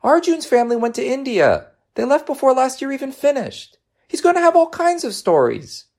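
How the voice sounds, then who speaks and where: Male reader as sulky young boy, worried, hesitant, pitched up, very animated, children's story character. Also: normal speed, creaky, heavily acted. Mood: glum, worried. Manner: hesitant, downcast, protesting. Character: glum young schoolboy, anxious, sulky, normally talkative.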